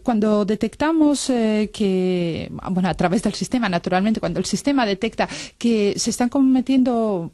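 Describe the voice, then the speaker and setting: A woman from a language research group, speaking at a round-table discussion in a measured way with many fillers. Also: medium pitch, warm tone, no echo. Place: studio table with other guests.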